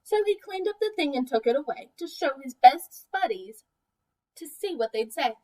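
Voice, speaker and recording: A high-voiced woman speaks as a teacher reading a picture book to small children. Her delivery is expressive and deliberate, with questions put to the listeners, and she sounds a little way from the microphone. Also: distant mic.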